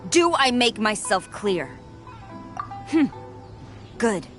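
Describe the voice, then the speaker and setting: A woman's voice: a little high, big pitch swings, takes it slowly, a bit bright, faint music behind, heavily acted. A woman playing a stern, commanding warrior officer in a video game, with a clipped, authoritative manner. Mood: stern, measured.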